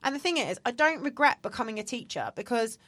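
A woman's voice: a little high, sing-song, fast talker, fairly bright tone, dry recording. A woman in her thirties, chatting candidly as a podcast co-host with lots of fillers. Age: 30s. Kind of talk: casual chat.

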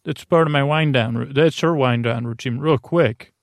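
A man's voice: medium pitch, dry recording. A man hosting a bedtime podcast in a meandering monologue, stammering, restarting and interrupting himself as he goes. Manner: meandering, halting, stammering with repeated self-interruptions. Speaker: podcast host.

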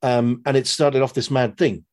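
A man with a husky voice chatting casually, retelling stories in a rambling, animated way with some swearing. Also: mid-range voice, normal speed. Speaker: podcast guest. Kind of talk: casual chat, retelling a story.

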